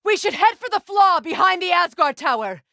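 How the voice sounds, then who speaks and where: A woman voicing a proud, measured Norse warrior goddess in a dramatic video game, with formal, deliberate and dry wording. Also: extremely high pitch, sing-song, vocal fry, bright, forceful tone, no room sound, heavily acted. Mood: urgent battle shouting.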